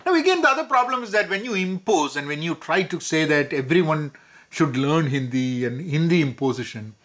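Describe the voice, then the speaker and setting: A man's voice: a little high, animated, a little fast, a bit bright. A middle-aged man from Kerala with a Malayali English accent, a vlogger talking through his thoughts and switching between Hindi and English, with fillers and restarts. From Kozhikode, Kerala, India. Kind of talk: casual personal commentary.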